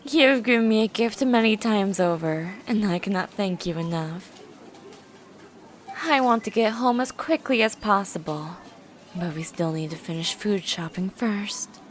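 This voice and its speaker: Woman voicing a young female scientist, grumpy, obsessive, precise, bossy, ASMR character roleplay, medium pitch, audible background ambience. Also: unhurried, slight background noise, natural voice. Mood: grateful, eager.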